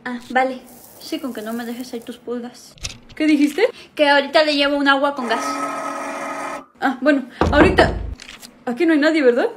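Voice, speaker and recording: A young woman acting out a comedy sketch, switching quickly between characters in a small room at home. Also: high-pitched, noisy background. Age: young adult.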